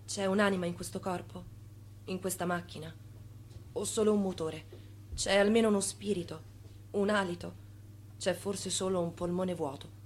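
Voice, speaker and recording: Radio drama: wounded, questioning wife, slightly deep, husky breathy voice, quiet delivery. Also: female voice, fairly even intonation, normal speed, pauses often. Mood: anguished, searching. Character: reproachful, searching wife in a night bedroom.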